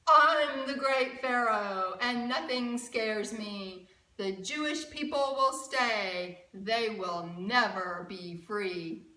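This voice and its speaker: A woman voicing a boastful, defiant Egyptian king from a children's storybook, pompous and exclaiming loudly. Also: fairly high pitch, slow, voice pushed down. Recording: roomy echo, distant mic.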